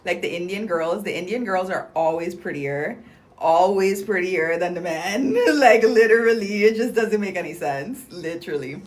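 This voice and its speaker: A woman's voice: fairly low pitch, expressive, moderate pace. Chatty, slangy young woman livestreaming. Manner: chatty and bubbly, slangy, lots of "like" and "um". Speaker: livestream host promoting clinic deals. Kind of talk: casual livestream chat, reading viewer comments.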